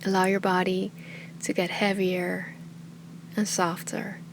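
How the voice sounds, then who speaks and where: Calm lady leading a guided meditation, soft n unhurried, medium pitch, goes breathy at phrase ends, bit of noise behind her. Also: poor audio. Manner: calm, soothing, unhurried, often trailing into breathy softness. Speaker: yoga and meditation teacher.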